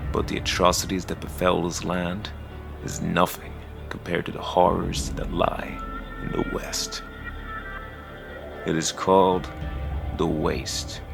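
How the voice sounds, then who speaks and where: A man performs an immortal, all-seeing narrator of a dark fantasy audio drama. The voice is very low and heavily gravelled, solemn and portentous, with measured phrasing over clear background music. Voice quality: deep, gravelly.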